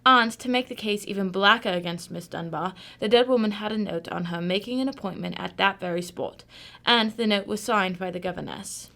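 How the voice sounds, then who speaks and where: A woman plays a cool, analytical female detective in a radio drama. Her medium-pitched voice has plenty of vocal fry. She talks formally and precisely, in measured phrases. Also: a bit bright. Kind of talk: amateur radio drama acting.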